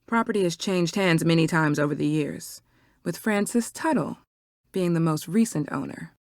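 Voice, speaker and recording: An actress playing a guarded, defensive young herbalist shopkeeper in a video game, curt and suspicious in manner. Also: female voice, sits low, moderate pace, no room sound, studio quality. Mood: guarded, explanatory.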